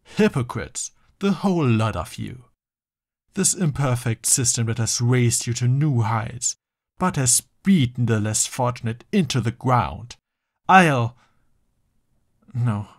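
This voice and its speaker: A grandiose male supervillain, acted out by a man in an audio roleplay. He declaims slowly and deliberately, full of menace and contempt, at a medium pitch. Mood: triumphant, menacing. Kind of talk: theatrical villain monologue in an audio roleplay.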